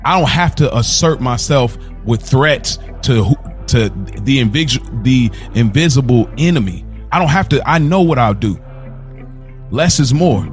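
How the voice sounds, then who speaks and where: A young man co-hosting a podcast in casual chat, telling stories in an animated way and laughing often. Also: medium pitch, unhurried, soft background music. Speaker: podcast co-host.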